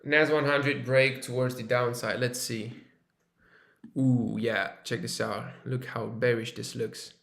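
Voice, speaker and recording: A man's voice: medium pitch, flat delivery, unhurried, vocal fry, fairly bright tone, echoey room. A man from Romania hosting a live trading stream, chatting casually with viewers and thinking aloud with fillers and restarts. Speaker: live-stream trader host.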